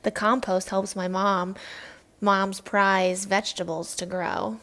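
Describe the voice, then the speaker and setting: A woman reading a children's picture book aloud in an expressive storytelling style. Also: mid-range voice, takes it slowly. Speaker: read-aloud narrator.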